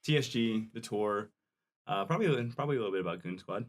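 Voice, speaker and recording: A man's voice: on the low side, animated, fast. A man hosting a casual podcast, joking and teasing his guest, laughing often. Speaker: podcast host. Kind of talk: casual chat.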